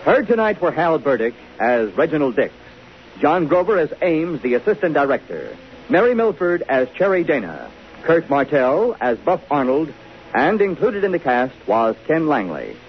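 An American male announcer with a highish, animated voice in polished 1950s radio style. The recording is muffled and faintly noisy. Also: normal speed.

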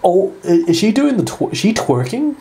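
A man's voice: sits high, sing-song, normal speed, warm tone, a little hiss or hum. A man giving casual live reaction commentary, stammering and repeating his phrases. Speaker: reaction video host. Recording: music playing behind the voice.

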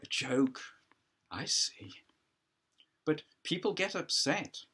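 A man's voice: a little high, animated, slow pace, pushed and bright, slightly rough, exaggerated voice. A man voicing a sceptical prosecuting counsel in a play, asking pointed, insinuating questions. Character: sceptical, needling prosecuting counsel at a hearing, male.

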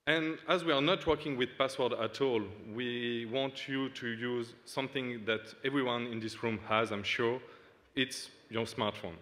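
Guy, halting demo talk, bright voice, big echoey hall. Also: mid-range voice, fairly even intonation. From Switzerland. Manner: halting, earnest, many fillers. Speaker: startup founder demoing. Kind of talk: stage product demo. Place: large dark hall on stage. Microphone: stage mic at a lectern. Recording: long hall reverb.

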